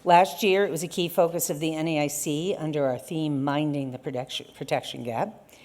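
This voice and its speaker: Woman, middle-aged, moderator, formal, prepared questions, very low voice. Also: steady tone, a little fast, darkish tone. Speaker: conference moderator. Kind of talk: onstage armchair conversation. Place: conference stage.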